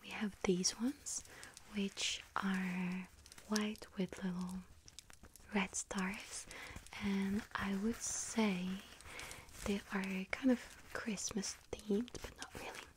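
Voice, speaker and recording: A woman playing a friendly, scatterbrained young witch serving a bakery customer in an ASMR roleplay, hesitant, apologetic and gently chatty. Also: mid-range voice, level tone, slow, slight background noise, lightly acted, poor audio. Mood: helpful, gentle. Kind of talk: ASMR roleplay, soft whispering.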